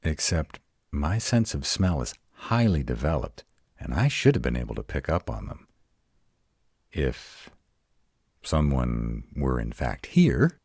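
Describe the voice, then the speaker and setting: Man voicing a very deep, grumpy were-dragon. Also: sing-song, darkish tone, no echo, clean studio sound, heavily acted. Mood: wary, puzzled. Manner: gruff, exasperated, halting one-sided dialogue. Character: grumpy, protective male were-dragon, rattled but defiant. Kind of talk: roleplay audio acting.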